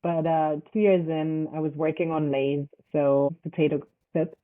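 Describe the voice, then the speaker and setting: A young woman from Switzerland, a startup founder, answering interview questions in a loose, halting way full of fillers. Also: low voice, flat delivery. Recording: tinny phone-like line.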